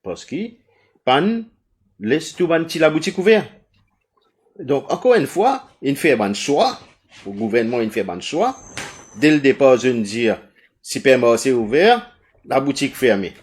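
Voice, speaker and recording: A man's voice: medium pitch, very animated, measured pace, long pauses. Earnest Mauritian man on an online panel. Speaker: panel speaker. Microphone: video call.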